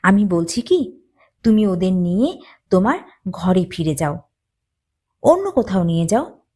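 Woman as a kindly, slow-talking storybook pigeon. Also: fairly low pitch, very animated, dark tone. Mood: gently advising. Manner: advising, persuasive. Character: kindly pigeon giving gentle cautionary advice.

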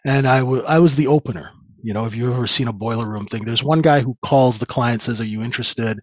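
A man in his fifties who grew up in Canada, telling his life story as an interview guest in a relaxed, rambling way with many fillers. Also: medium pitch, quick pace, no room sound. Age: 50s. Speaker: trader guest. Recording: dull lo-fi dry sound.

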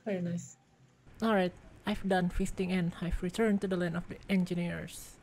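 Congested woman narrating a game, low-pitched. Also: normal speed, slight background noise. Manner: excitable, exclaims in surprise, thinks aloud. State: congested, losing her voice. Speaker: game video reviewer. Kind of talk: casual gameplay commentary.

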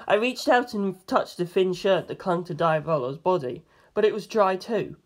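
Story narrator, mid-range pitch, reading first-person fiction audiobook-style. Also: normal speed.

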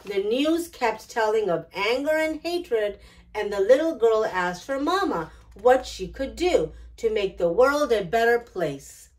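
A middle-aged woman hosting storytime, reading a picture book aloud in an expressive, deliberate way. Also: on the high side, low-quality recording. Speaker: children's storytime host. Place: small decorated indoor storytime set.

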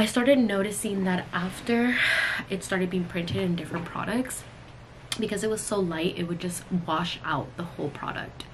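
A woman's voice: lowish voice, rough recording. A woman in her thirties talking casually to the camera as a vlogger, chatty and addressing her viewers directly. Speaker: vlogger and cosmetics brand owner. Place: small indoor room at work. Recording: clear room noise, camera audio.

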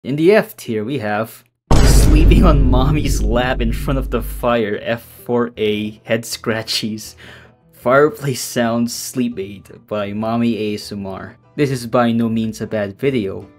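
Deadpan man narrating over soft music. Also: mid-range voice, measured pace. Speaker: host of a meme tier-list video. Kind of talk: casual video narration.

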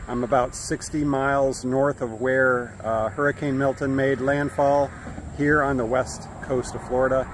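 A man in his fifties with an American accent gives casual vlog commentary in a halting, matter-of-fact way, recorded outdoors on a phone. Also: medium pitch, level tone. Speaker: cycling vlogger. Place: outdoors by bike paths and roads. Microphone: phone at arm's length. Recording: noisy outdoor audio with traffic ambience.

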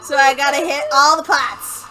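A woman's voice: high-pitched, fairly even intonation, normal speed, poor audio. A woman doing casual, playful gaming commentary as a co-host, exclaiming freely and joking crudely. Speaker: let's play co-host playing the game. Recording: loud game music behind voice. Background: video game music.

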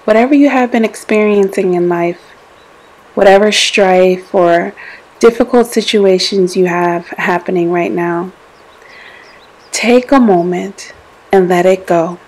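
A woman with a soft-edged, mellow voice guides a meditation. She speaks very slowly and gently, leaving space between short, soothing instructions. Her delivery is deliberate and scripted. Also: mid-range voice, rough recording.

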